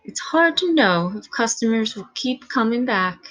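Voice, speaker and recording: A woman voicing a gentle, affectionate female bookseller in a children's storybook. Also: on the high side, lively intonation, moderate pace, rough recording. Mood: sad, unsure. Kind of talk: children's storybook read-aloud.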